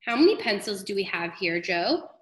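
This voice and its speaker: A woman voicing a patient but firm female schoolteacher in a storybook, speaking in an instructive, deliberate way. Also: mid-range voice, sing-song, a bit bright. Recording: echoey room.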